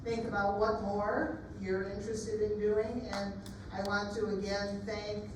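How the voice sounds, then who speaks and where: A woman's voice: mid-range voice, flat delivery, a little slow. A woman organizing the event makes brief, polite closing announcements. She sounds distant in a very echoey room with background noise. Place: library meeting room. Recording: very echoey room from far off, noisy.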